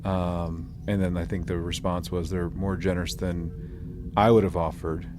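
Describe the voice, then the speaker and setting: A man's voice: sits low, flat delivery, a little slow, over music. A man co-hosting a podcast in casual discussion, hesitant as he searches for his words. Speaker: podcast co-host. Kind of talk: casual discussion recapping an audio drama.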